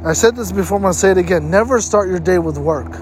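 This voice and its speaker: High-pitched man giving emphatic motivational advice. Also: fast talker, a little hiss or hum. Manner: emphatic, repeats points for stress. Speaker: host giving health tips. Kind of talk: motivational advice talk to camera.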